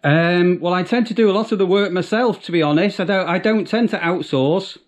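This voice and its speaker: A British man in his fifties chatting casually in a dry, deadpan way, recorded at arm's length in a room at home. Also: high voice, fairly quick. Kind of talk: casual prank phone chat. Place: small domestic room. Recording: slightly dull, lo-fi home recording.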